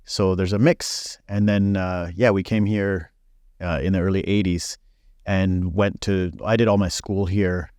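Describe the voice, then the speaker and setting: A man's voice: low-pitched, little pitch movement, a little slow, a little mellow, clear, resonant tone, no echo, studio quality. A man in his forties, born in Belgium, speaking frankly as a podcast guest with many fillers. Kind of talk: casual interview chat.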